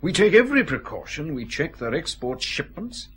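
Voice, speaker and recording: High, animated, cautious customs man. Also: moderate pace, breathy edge, heavily acted. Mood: fussy, precise. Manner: hesitant, precise. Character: cautious, fussy male customs official. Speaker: actor in a radio detective drama. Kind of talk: radio drama acting.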